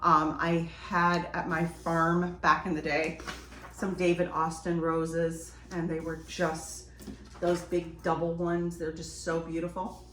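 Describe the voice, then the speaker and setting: A woman's voice: lowish voice, completely flat delivery, slow, fairly bright tone. Chatty woman crafting, echoey room. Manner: chatty, enthusiastic, addresses viewers as friends. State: sniffly from a cold. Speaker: DIY vlogger. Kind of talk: casual how-to chat while crafting. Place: home decorating space, indoors. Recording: roomy echo, distant from mic, faint noise.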